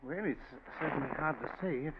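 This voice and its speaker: An actor playing a hesitant middle-aged uncle in a 1940s radio drama, speaking haltingly. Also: male voice, a little high, highs cut off, lightly acted. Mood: uneasy. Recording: scratchy band-limited 1940s radio recording.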